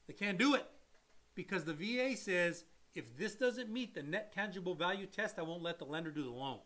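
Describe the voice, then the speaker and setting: A man's voice: high-pitched, lively intonation, fairly quick, fairly bright tone. A man who works as a mortgage loan officer, co-hosting a casual chat and explaining loans to viewers in an emphatic, blunt way and repeating his key points.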